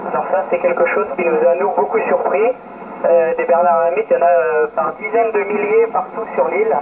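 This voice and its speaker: A man's voice: high voice, brisk. A man on an amateur radio expedition, explaining life on a remote island in a casual, hesitant way with many fillers and restarted words. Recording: noisy, phone-like radio link.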